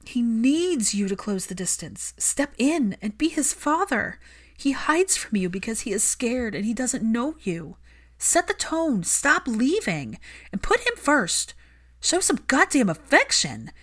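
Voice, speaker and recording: Woman acting fiercely impassioned, outspoken woman, high-pitched. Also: big pitch swings, measured pace, a bit bright, full character voice. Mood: impassioned yelling. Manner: emphatic, impassioned, argumentative. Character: fiercely outspoken, emotional woman, indignant caregiver of a child.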